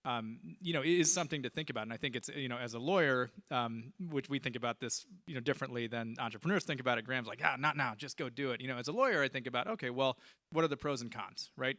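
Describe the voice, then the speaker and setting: A man's voice: mid-range voice, bright, forceful tone. A man moderating a conference panel in a conversational way, hedging often. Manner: conversational, hedging with "you know".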